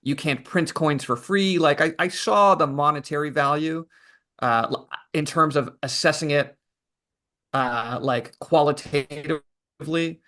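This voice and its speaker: A man, an author guesting on a podcast, who tells his story in a relaxed, thoughtful way with lots of fillers. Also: fairly high pitch, unhurried. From Canada. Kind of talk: casual interview answers.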